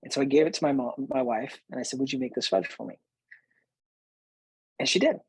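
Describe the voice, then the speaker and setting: Male host, late thirties, slightly husky and close-recorded, conversational storyteller with emphatic repeats. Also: mid-range voice, brisk, no echo, poor audio. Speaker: host, business coach. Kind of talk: personal storytelling to listeners.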